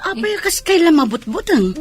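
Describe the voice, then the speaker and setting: A woman with an Ilocano accent playing a concerned, inquiring neighbour aunt in a radio comedy drama, her voice husky. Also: very high voice, big pitch swings, moderate pace. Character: concerned neighbour aunt questioning frightened children.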